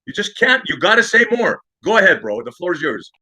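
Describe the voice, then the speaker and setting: A man's voice: sits high, sing-song, brisk, bright, forceful tone, husky. A man taunting his opponent in an online debate, calling him bro and pushing him to say more. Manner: taunting, calls others bro. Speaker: debate participant.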